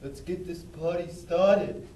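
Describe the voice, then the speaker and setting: A man's voice: high-pitched, takes it slowly, warm tone, a little hiss or hum. A man playing a suspicious, grumbling ship's captain in an amateur puppet show. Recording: echoey room, distant mic, music behind.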